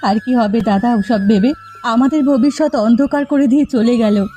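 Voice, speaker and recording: A woman's voice: a little high, moderate pace, dark tone, soft background music, natural voice. A woman playing a grieving village widow in a cartoon drama, lamenting in a plaintive, despairing manner.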